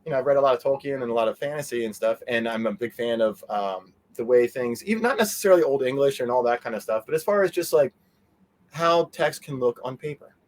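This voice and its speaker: A man talking casually as an interview guest, telling things in a rambling, animated way with quoted asides. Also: mid-range voice, quick pace.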